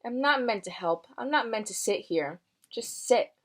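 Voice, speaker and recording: A woman voicing a wise, patient talking tree in a storybook, speaking earnestly and with gentle authority. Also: fairly high pitch, animated, normal speed. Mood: resigned.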